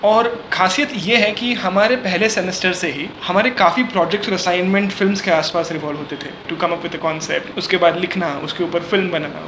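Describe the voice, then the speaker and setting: A young Indian man with a high voice gives explanatory, conversational interview answers, switching into English phrases. The recording is echoey, with faint noise behind him. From Mumbai, India. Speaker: interview guest, filmmaker.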